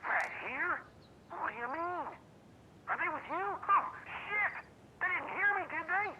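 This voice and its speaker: Crackly radio, dumb loud-mouth deputy, high swoopy voice, excitable, video game character. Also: male voice, unhurried, heavily acted. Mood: agitated. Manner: excitable, blunt. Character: dim, blustering small-town male deputy on radio. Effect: police radio. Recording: dull, noisy two-way radio with clicks.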